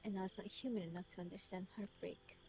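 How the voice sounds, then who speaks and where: A woman voicing a lonely, remorseful man with a British accent, reading his confession in a solemn, literary, measured way. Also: mid-range voice, steady tone, background noise, natural voice. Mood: melancholy, brooding.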